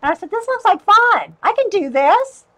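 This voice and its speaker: A woman's voice: very high voice, sing-song, moderate pace, bright, forceful tone, slight background noise. A middle-aged woman, chatting casually with viewers while she draws, laughing often. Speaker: artist livestreamer. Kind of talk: casual chat while drawing.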